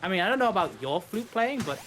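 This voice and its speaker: A man's voice: high-pitched, big pitch swings, fast, fairly bright tone, a little hiss or hum. A man giving live, explanatory speedrun commentary, rambling a little and rephrasing as he goes. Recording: game music behind the voice. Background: video game music.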